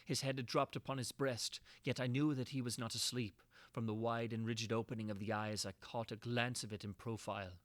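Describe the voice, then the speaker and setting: A man narrates classic horror fiction with formal, theatrical poise. His delivery is measured and literary, in a mid-pitched voice, recorded close and dry. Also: little pitch movement, no room sound. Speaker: reader of classic horror tales.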